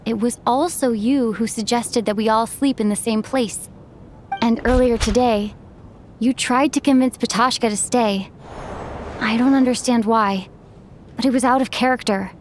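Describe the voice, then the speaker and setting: A woman playing an earnest young female sleuth in a game dub, reasoning deliberately and with determination, step by step. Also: fairly high pitch, fairly even intonation, a little mellow, a little hiss or hum, natural voice. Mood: accusing, deliberate. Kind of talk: dramatic game dub acting.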